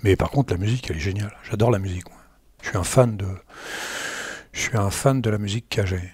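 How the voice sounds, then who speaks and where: A man's voice: lowish voice, fast talker, darkish tone, breathy edge, no echo, clean studio sound. A man giving loose, slangy commentary over a screen recording, often repeating himself and joking at his own expense. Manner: rambling, repeats words, slangy and self-mocking. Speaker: tech blogger.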